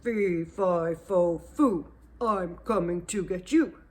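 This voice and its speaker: A woman doing a big gentle giant. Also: animated, fairly quick. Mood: booming. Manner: booming, stomping chant. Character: big gentle male giant, shy and unfit.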